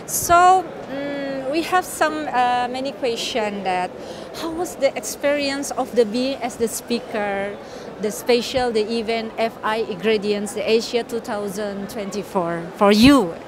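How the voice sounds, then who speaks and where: A woman's voice: a little high, measured pace, background noise. Upbeat woman interviewer. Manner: upbeat, broken English phrasing. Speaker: event interviewer. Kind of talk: interview hosting. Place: trade fair booth, indoors. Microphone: handheld mic.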